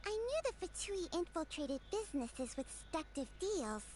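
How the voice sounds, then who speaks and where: A woman voicing a tiny, excitable, chatty fairy companion in a video game, with a squeaky childlike voice. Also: moderate pace, slight background noise. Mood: indignant, surprised. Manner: speaks of herself in third person. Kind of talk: animated video game dialogue.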